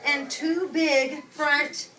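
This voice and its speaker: A woman preschool teacher reading aloud to young children, using simple instructive phrasing, repeating key words and pausing for questions and asides. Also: high-pitched, fairly even intonation, pushed and bright. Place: indoor classroom with young children. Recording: echoey room, distant mic, noisy background.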